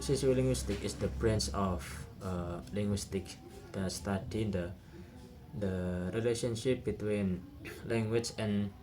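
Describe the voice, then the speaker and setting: A young man with an Indonesian accent reviews a linguistics topic, pausing often with "uh" and "nah" fillers. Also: lowish voice, warm tone. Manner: hesitant, many "uh" and "nah" fillers.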